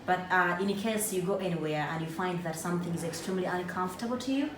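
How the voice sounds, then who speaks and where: A woman hosts a casual interview, her voice sitting low and warmly encouraging. She sits away from the mic, so the room's echo and a steady background noise come through. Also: quick pace. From Uganda. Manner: encouraging, lots of affirming hums. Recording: echoey room, far from mic, background noise.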